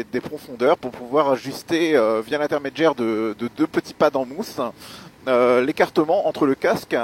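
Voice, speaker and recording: A man giving a spoken gear review as a motovlogger, explaining things in a measured, hesitant way with pauses. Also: medium pitch, a little hiss or hum. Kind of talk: spoken product review while riding.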